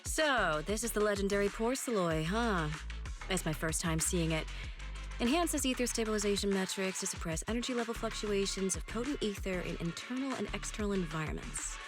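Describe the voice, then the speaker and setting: A woman's voice: medium pitch, lively intonation, a little fast, over music, close to an everyday voice. A woman voicing a curious, plain-spoken character in casual game cutscene dialogue.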